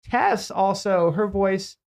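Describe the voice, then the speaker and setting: A man's voice: high voice, takes it slowly. A man hosting a casual studio podcast, nasal, rambling with lots of fillers and acted-out quotes. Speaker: host.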